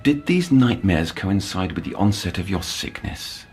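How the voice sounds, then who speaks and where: An actor playing a polite, probing counsellor to troubled children in an audio drama, formal and coaxing, choosing words precisely. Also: male voice, low voice, animated, unhurried, darkish tone, soft background music. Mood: probing, solicitous. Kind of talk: scripted audio drama acting.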